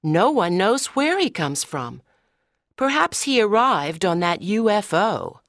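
A curious, imaginative schoolgirl in her teens, played by a woman narrator. She is excitable, with lively, swinging intonation. The audiobook sound is dry and close. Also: mid-range voice, takes it slowly, dry recording. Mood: excited, suspicious. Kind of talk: audiobook dialogue.

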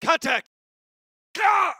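An actor playing a fierce, terse yelling combat callouts in a video game. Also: male voice, very high-pitched, expressive, extremely slow, full character voice. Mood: urgent shouting. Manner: forceful, terse. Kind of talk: shouted video game battle chatter.

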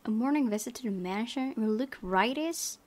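A woman's voice: a little high, animated, moderate pace, darkish tone. A young woman hosting a let's play, reading visual novel narration aloud expressively.

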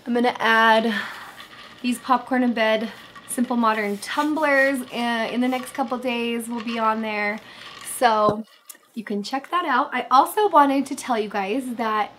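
Slightly hoarse woman chatting on livestream. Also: fairly high pitch, unhurried, a bit bright, a little hiss or hum. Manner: chatty, reads chat aloud, many fillers. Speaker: livestream host. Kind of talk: casual livestream chat. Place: bedroom, sitting in bed. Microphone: webcam framing.

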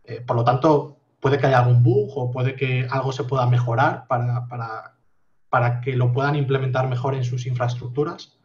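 A man in his thirties explaining his project on a video call from a home office, measured and full of fillers. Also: medium pitch, fairly even intonation, muffled recording. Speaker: guest project founder. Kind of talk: casual video call interview.

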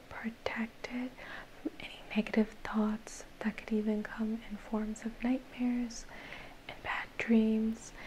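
A young woman whispering softly and breathily in a slow, soothing ASMR guided relaxation. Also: fairly high pitch, a little hiss or hum, low-quality recording. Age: young adult. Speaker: ASMR reiki practitioner. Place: dim indoor room.

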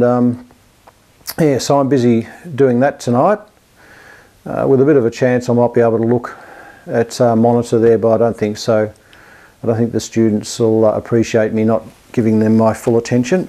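A man's voice: mid-range voice, mellow. A man in his fifties with an Australian accent giving a casual, unscripted hobbyist update in a hesitant, rambling, self-deprecating way. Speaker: amateur radio and television hobbyist presenting an update.

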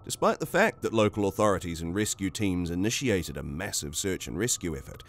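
A man narrates a scripted documentary in a fairly low voice. His reading is formal and wordy. Clear background noise and ambience sit under the voice-over. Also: very animated, brisk.

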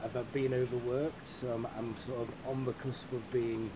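A man with a British accent, worn out and losing his voice, chatting haltingly on a video call and often clearing his throat. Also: medium pitch, flat delivery, noisy background. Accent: British English. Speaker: keynote speaker. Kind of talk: casual pre-talk chat on a video call.

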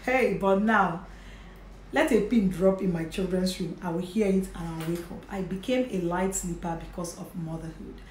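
A woman's voice: fairly low pitch, moderate pace, darkish tone. A Nigerian woman in her thirties, talking to camera about motherhood in a chatty, earnest way with light laughs. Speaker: vlogger, mother. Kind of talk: casual talk to camera. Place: indoor home office with bookshelf and curtains. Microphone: camera in front at desk distance. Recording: echoey room, faint hiss.

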